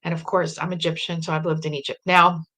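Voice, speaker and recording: A woman raised in New Jersey, talking in an animated, emphatic way in casual conversation. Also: on the low side, fast.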